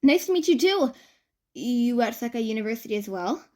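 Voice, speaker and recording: A woman voicing a sociable, easily exasperated young college woman, with an expressive, bantering delivery. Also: on the high side, brisk, lightly acted. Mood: friendly.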